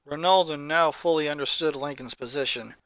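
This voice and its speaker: A woman's voice: sits low, brisk, fairly bright tone, no room sound. A woman reading scripted fan fiction as a story narrator.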